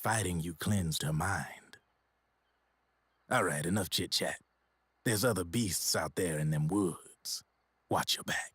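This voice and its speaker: An actor playing a gruff, no-nonsense fighter in a video game, curt and folksy with a husky voice. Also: male voice, sits low, slow, long pauses, fairly bright tone, full character voice. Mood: gruff, subdued. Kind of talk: dramatic video game acting.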